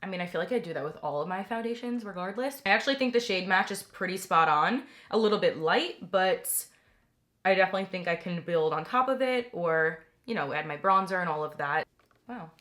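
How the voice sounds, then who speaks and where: Chatty young woman, a little raspy. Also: on the low side, animated, fairly quick, a bit bright. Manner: chatty, enthusiastic, many "like" fillers. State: slightly raspy from getting over a cold. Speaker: beauty vlogger. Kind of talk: casual product review.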